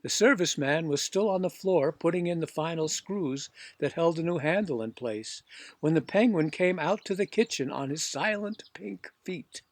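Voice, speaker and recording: A man reading a children's book aloud as expressive scripted narration. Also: on the high side, normal speed, no echo. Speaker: audiobook reader.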